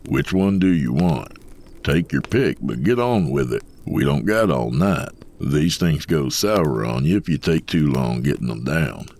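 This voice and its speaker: A man voicing an ancient trickster coyote spirit as a gruff cowpoke, with a gravelly, folksy American drawl, blustering and mocking. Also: extremely low pitch, animated, a little slow, darkish tone, voice pushed down, exaggerated voice. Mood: blustering, impatient.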